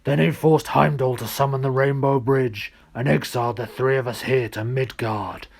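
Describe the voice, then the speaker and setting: A huge, gruff bear god from a storybook, acted out by a male reader. The voice is a booming, throaty growl. He speaks emphatically, with grave indignation. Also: fairly even intonation, moderate pace, darkish tone. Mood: indignant.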